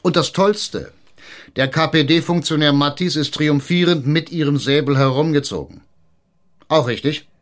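An actor playing a cunning, domineering mayor in a German radio drama, emphatic and sardonic. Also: male voice, a little high, unhurried, close to an everyday voice. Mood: stern, interrogating. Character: blustering, cunning small-town mayor, crude and domineering.

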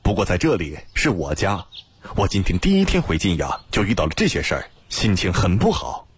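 Man playing a haughty young gentleman, low husky voice. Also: wildly swooping pitch, a little fast, dry recording, exaggerated voice. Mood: haughty, irritated. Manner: haughty, sardonic. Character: proud, sardonic young gentleman of a rich house.

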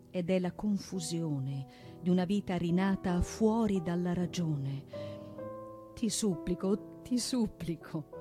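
A woman giving a solemn, theatrical recitation of poetry and prose in a deliberate, dramatic manner. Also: fairly low pitch. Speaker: stage performer, actress and singer. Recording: piano music under the voice. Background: piano accompaniment.

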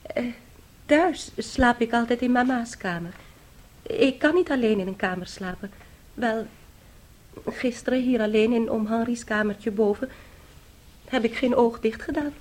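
An actress in a Dutch radio play playing a shy young niece, timid and hesitant. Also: female voice, a little high, unhurried, long pauses, darkish tone. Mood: shy, confiding. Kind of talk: Dutch radio drama acting.

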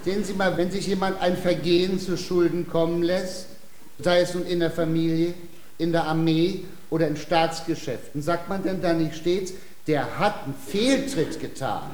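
A man acts out an earnest, self-important dancing master in a theatrical radio comedy. His voice sits a little high and he speaks in florid, pompous phrases. The sound is roomy, with faint noise behind it. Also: moderate pace, a little mellow, close to an everyday voice. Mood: eager, persuasive. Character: earnest, high-minded male dancing master with airy airs. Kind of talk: German radio play, theatrical comedy acting.

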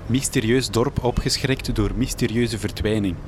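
A hapless Flemish reporter, played by a man in a comic radio play. His mid-range voice is hesitant, naive and apologetic, with plenty of earnestness. Noise is clearly audible behind him. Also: medium pitch, steady tone, normal speed, lightly acted. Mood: reluctant. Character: meek, earnest, hapless male star newspaper journalist. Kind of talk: comic radio drama acting.

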